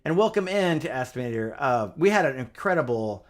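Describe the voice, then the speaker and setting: A man's voice: medium pitch, animated, a little fast. A middle-aged man hosting a casual music livestream, chatty and upbeat, with lots of fillers. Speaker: livestream pianist host.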